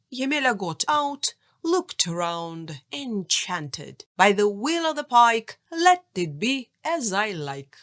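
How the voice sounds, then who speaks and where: A woman narrating a children's folk tale audiobook in an expressive, scripted storytelling style. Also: mid-range voice, no echo, clean studio sound.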